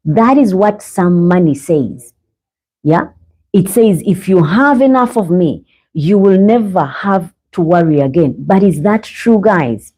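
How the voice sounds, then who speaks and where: A woman's voice: fairly low pitch, animated, measured pace, warm tone, slightly rough. Ugandan woman hosting a faith podcast. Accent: Ugandan English. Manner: earnest, preachy, addresses viewers as guys. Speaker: podcast host. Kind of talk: live faith podcast monologue. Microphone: own microphone, sometimes adjusted.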